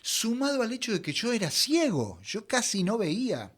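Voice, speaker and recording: A middle-aged Argentine man from Buenos Aires telling anecdotes conversationally as an interview guest, in an expressive, theatrical way. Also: high-pitched, moderate pace, slightly rough. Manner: expressive storyteller, acts out quoted dialogue. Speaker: interview guest, veteran voice actor.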